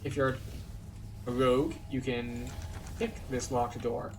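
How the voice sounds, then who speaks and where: A man's voice: medium pitch, slow, distant mic, noisy background. Easygoing man guiding a game walkthrough. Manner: relaxed, explanatory tour-guide talk. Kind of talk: casual gameplay commentary.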